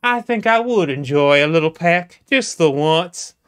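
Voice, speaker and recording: A woman voicing a confident young man courting a lady, speaking in a slow, self-assured, old-fashioned charming way. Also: low voice, lively intonation, smooth. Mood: smooth charming. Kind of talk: comic storytelling.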